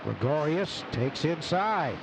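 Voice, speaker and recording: Higher-voiced man calling baseball over loud noise. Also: slow pace, creaky. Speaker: baseball play-by-play announcer. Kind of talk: live radio sports commentary. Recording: noisy outdoor ballpark radio broadcast. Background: steady ballpark noise.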